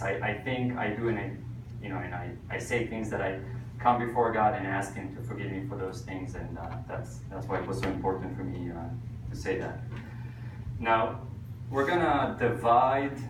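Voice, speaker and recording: A man from Israel with a thick Israeli accent, teaching a church group with slides, halting with many fillers and dry self-mocking jokes. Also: low-pitched. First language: Hebrew. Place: church hall with audience. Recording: echoey room, voice from a distance, noisy. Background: audience laughter, room ambience.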